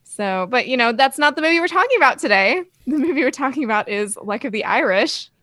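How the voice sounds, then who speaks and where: A woman's voice: sits high, expressive, fast talker, pushed and bright, no room sound, studio quality. A woman hosting a casual film discussion, speaking in an informal, conversational way. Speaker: podcast host.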